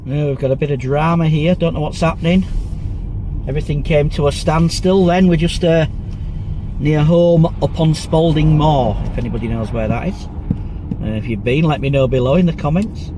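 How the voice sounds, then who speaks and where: A man's voice: a little high, normal speed. A man chatting casually while he drives, rambling with lots of ums, and bunged up with a cold. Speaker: vlogger. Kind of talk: casual driving commentary. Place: inside a car. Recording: loud road noise.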